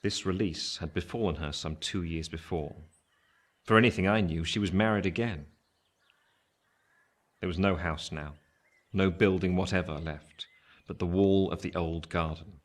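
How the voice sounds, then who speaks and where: An actor playing an older, reflective gentleman looking back on his life, narrating a radio play in a measured, wistful way. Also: low-pitched, close to an everyday voice.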